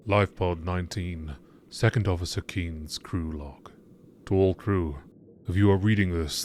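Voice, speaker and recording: A man with a fairly low voice reads scripted narration. His delivery is measured and deliberate, in the style of a nature documentary. There is some noise behind the voice. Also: darkish tone. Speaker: narrator reading game text aloud. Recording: clearly audible background noise.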